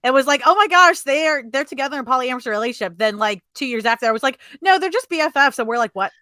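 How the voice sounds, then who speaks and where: Bright, higher-pitched woman hosting casually. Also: lively intonation, rapid-fire, dry recording. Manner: informal, conversational. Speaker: host of a comics interview show. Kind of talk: casual conversational interview.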